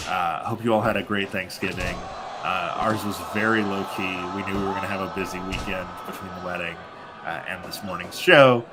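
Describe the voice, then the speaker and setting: A man calling a wrestling match, congested and sleepy, rambling with lots of fillers between bursts of hype. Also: fairly low pitch. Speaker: wrestling commentator. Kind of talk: live play-by-play commentary. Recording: music playing behind. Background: music.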